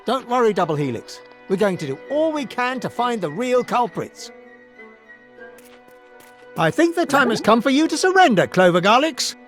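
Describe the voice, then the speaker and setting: A man's voice: extremely high pitch, big pitch swings, normal speed, fairly bright tone, soft background music, heavily acted. A man voicing a shrewd, confident little warrior hero in a cartoon game, speaking politely and articulately. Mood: determined. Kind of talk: animated cartoon game voice acting.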